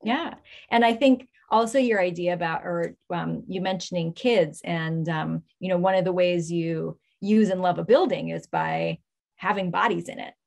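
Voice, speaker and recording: A woman curator moderating a public discussion, engaged and appreciative, often pausing with ums. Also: on the low side, vocal fry, darkish tone. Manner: engaged, grateful, frequent ums.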